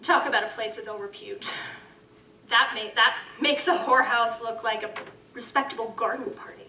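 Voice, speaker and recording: A teasing, streetwise working woman with a high, bright voice and a knowing edge. She sounds far from the mic in an echoey live hall, with faint room noise. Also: fairly even intonation, moderate pace. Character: brassy streetwise woman of the night. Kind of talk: live audio drama acting. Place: live convention stage.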